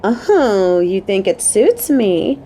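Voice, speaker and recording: Woman acting a playful, motherly coworker with mellow voice. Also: mid-range voice, sing-song, extremely slow, slight background noise. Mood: flirty teasing.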